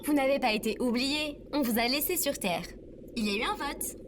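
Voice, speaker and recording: A woman's voice: sits high, a little fast, music in the background, close to an everyday voice. A woman playing a sarcastic female AI robot assistant in a comedy audio drama, formal, precise and dryly mocking. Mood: flatly insistent.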